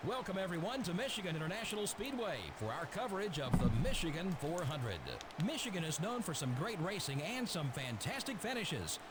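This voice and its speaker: A man announcing a race broadcast in a video game, heard as distant game audio under loud noise. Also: high-pitched, very animated, a little fast. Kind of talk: sports broadcast introduction.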